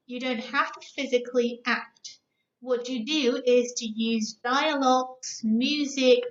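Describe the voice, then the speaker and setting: Higher-voiced woman judge, muffled echoey sound. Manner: encouraging, instructive. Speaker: competition judge. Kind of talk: spoken feedback addressed to students. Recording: muffled, echoey room recording.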